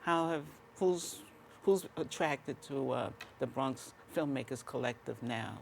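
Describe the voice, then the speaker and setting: A woman's voice: very deep voice, unhurried, creaky, a little hiss or hum. A woman hosting a TV talk show, asking interview questions and giving brief encouraging backchannels in a studio.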